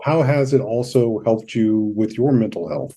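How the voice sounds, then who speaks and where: A man hosting a conversational interview, measured and attentive, offering brief affirmations between his questions. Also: fairly low pitch, warm tone. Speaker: podcast host, therapist.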